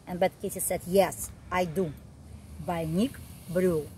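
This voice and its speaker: A middle-aged woman reading a picture book aloud to young children in an expressive, deliberate way, recorded outdoors. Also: fairly low pitch, a little hiss or hum. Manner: expressive, deliberate read-aloud delivery with simple emphasized phrases. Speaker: early childhood storyteller. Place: outdoor backyard, seated on a garden seat.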